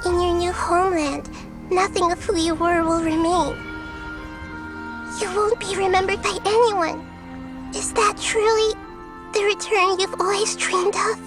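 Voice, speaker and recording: High, girlish, calm little goddess. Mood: solemn. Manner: composed, earnest, measured. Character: small childlike nature goddess, calm, gentle, selfless. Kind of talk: video game character acting. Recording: music behind the voice.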